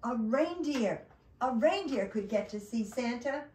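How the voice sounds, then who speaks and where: A woman's voice: a little high, animated, a little slow, darkish tone, distant mic, pitched above the performer's own voice. A woman voicing an anxious, scheming male turkey in a children's storybook, exclaiming and gobbling when thwarted, in a roomy recording. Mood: excited scheming.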